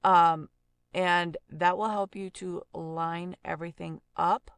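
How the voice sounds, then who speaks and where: A woman giving a casual audio software tutorial, explaining informally and thinking aloud as she works. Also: lowish voice, fairly even intonation, takes it slowly, smooth, no echo.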